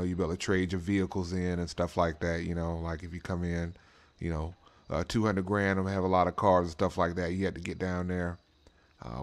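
A man with a deep voice hosts a gaming channel, talking in a flat, even tone. His delivery is casual and rambling, full of slang and repeated tag phrases, with a laid-back manner throughout. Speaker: host of a racing roleplay channel. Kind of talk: casual rambling gameplay commentary.

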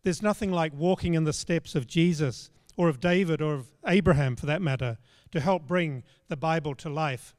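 Older male preacher, slightly high voice, measured and plain, close mic with long hall reverb. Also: a little mellow. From Australia. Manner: measured, storytelling, plain spoken. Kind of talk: sermon. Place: large church stage. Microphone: handheld microphone.

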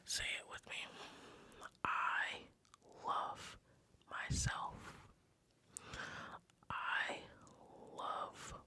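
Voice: drawn-out words, long pauses. An ASMR creator whispering guided breathing and affirmations, repeating each phrase in a reassuring way.

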